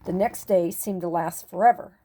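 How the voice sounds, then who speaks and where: An older woman narrating a children's picture book expressively, with deliberate dramatic emphasis and pauses. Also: lowish voice, dark tone. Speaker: storyteller reading to children.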